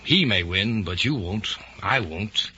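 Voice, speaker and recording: An actor playing a troubled, weary, lovelorn political aide in a mid-century American drama, speaking with agonized earnestness. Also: male voice, on the low side, lively intonation, slow, sharp tone, heavily acted. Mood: anguished, earnest. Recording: muffled old recording, faint noise.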